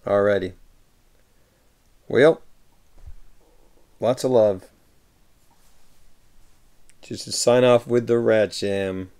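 A man in his thirties from the United States, a livestreamer in a room at home, reading viewer messages aloud and answering warmly through a webcam microphone. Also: fairly low pitch, fairly even intonation, drawn-out words, pauses often, clear, resonant tone. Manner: reads viewer messages aloud and replies warmly, often laughing. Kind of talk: casual chat. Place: home room with shelves of films.

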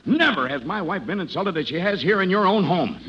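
An actor playing a pompous, gluttonous, blustering boss in 1950s radio comedy, with a husky voice. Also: male voice, high voice, expressive, fairly quick. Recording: muffled 1950s radio recording.

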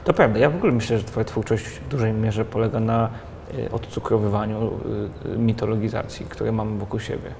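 A man in his thirties hosts the interview, asking questions quickly and eagerly in a somewhat low voice. He often murmurs agreement while his guest talks. A faint hiss runs under the studio sound. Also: fairly even intonation, vocal fry, a little mellow. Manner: quick, eager, lots of back-channel "mhm". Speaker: interviewer, host. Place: studio set in a large glass-walled building.

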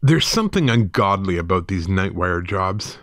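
An actor playing a weary night news-desk manager, telling an eerie story in a measured, deliberate way for an audio drama. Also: male voice, low voice, sing-song, fairly bright tone. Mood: world-weary, musing. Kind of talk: first-person horror audio drama narration.

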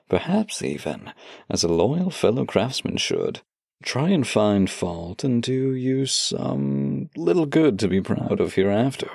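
A man acting a devoted romantic partner in an intimate audio drama, with a gravelly, husky voice, speaking heartfelt words close to the mic. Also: lowish voice, a little mellow, no room sound, exaggerated voice. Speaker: voice actor reading love letters. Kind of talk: intimate audio drama, slow heartfelt letter reading and banter.

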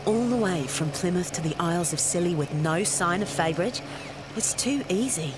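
A woman's voice: fairly low pitch, expressive, normal speed, noisy background, natural voice. A woman voicing a wary, teasing young mercenary, in a fan-made audio drama. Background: loud music score.

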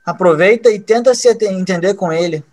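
Guy playing a calm young schemer, slightly high voice, knowing, audio drama. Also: a little fast, close to an everyday voice. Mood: smug. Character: scheming young man, calm vengeful son.

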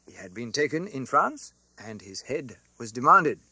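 A man narrating a classic novel as an audiobook, with a theatrical, expressive delivery. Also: mid-range voice, moderate pace, breathy edge, no room sound.

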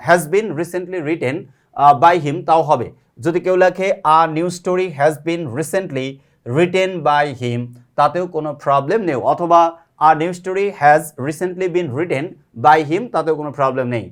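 A man with a high, light voice teaches a grammar lesson. He reads each example sentence slowly, word by word, then repeats the main points and asks rhetorical questions as he works through the steps. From Bangladesh.

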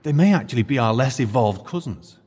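A dry, formal British archaeologist turned schoolteacher, played by a man, with a lively, swinging pitch. His wit is wry and his manner a little stiff in this audio drama. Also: fairly quick, slightly rough. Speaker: history teacher.

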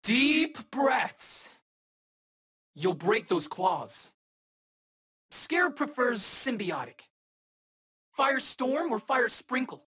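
An actor playing a cocky, eager young rookie superhero in a video game, bantering in casual, wisecracking slang. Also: male voice, extremely high pitch, sing-song, drawn-out words, long pauses, pushed and bright, husky, exaggerated voice. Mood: confident, taunting. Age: young adult.

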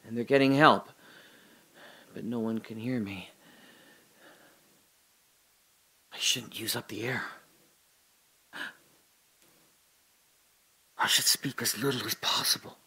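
An actor playing a man trapped in a dark, airless space, muttering to himself haltingly and drifting into memories in an audio drama monologue. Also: medium pitch. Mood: anxious.

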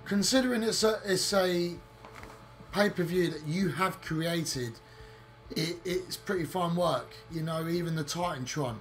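A man with a husky voice, joining as a guest to casually commentate a wrestling game. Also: a little high, a little slow, soft background music.

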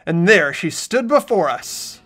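A woman's voice: on the low side, very animated, unhurried, a bit bright. A woman reading narration and lead dialogue in a game playthrough, with casual banter. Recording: music bed behind voice.

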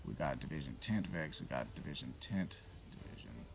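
A man giving casual, profanity-laced commentary while playing a shooter game, reacting loudly to what happens on screen. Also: extremely low pitch, expressive, moderate pace, creaky. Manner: swears freely, reacts loudly to in-game events. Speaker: gameplay commentator.

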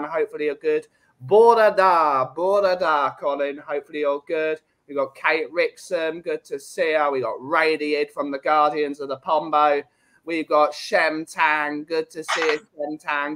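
A man's voice: fairly high pitch, a bit bright. A man, hosting a casual chat show over a video call. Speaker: host. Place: home room with brick wall.

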